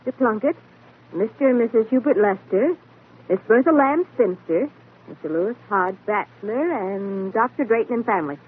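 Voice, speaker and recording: An actress playing a spirited, outspoken young secretary in old radio drama, pert and quick to object. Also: female voice, on the high side, expressive, breathy edge. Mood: brisk, pert. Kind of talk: 1940s radio acting. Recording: muffled, narrow-band old radio recording.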